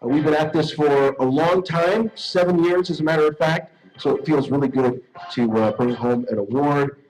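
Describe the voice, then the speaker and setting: A man's voice: on the high side, normal speed, darkish tone, low-quality recording. A man who co-hosts a podcast, giving a recorded acceptance speech with thanks. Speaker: podcast co-host accepting an award. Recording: music behind the voice. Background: music.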